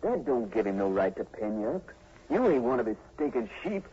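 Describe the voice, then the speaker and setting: An actor playing a hot-headed young rural suitor with a folksy drawl in a 1940s radio drama, protective and indignant. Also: male voice, medium pitch, sing-song, brisk, warm tone, slightly rough. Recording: muffled, noisy 1940s radio recording.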